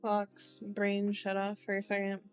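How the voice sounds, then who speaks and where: A woman streamer talks in a sleepy, cutesy way at a very unhurried pace. She rambles casually to her viewers in an ASMR style, with playful little phrasings. Music plays behind her voice. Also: mid-range voice, completely flat delivery, clean tone. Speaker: streamer hosting an ASMR book reading.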